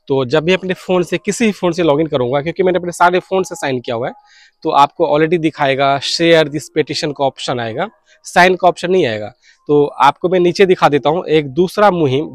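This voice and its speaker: Higher-pitched man vlogging. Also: no echo. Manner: earnest, mixes English words into Hindi. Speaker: radio hobbyist video blogger. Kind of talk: casual explanatory vlog to camera. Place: open balcony or rooftop outdoors beside fields. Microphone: clip-on lapel mic.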